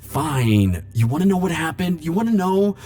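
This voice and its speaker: A man playing a defensive, lovelorn immortal in an ASMR roleplay, speaking hesitantly with stammers and halting phrases. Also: fairly high pitch, big pitch swings, mellow, slight background noise. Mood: defensive, flustered. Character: flirtatious, defensive male immortal pining for Grim Reaper. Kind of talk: ASMR roleplay monologue to the listener.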